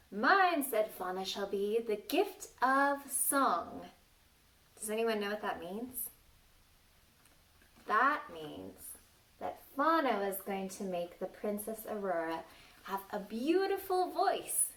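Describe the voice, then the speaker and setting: A woman reading a story aloud to young children, playfully stopping to ask them questions and exclaim. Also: mid-range voice. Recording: distant microphone.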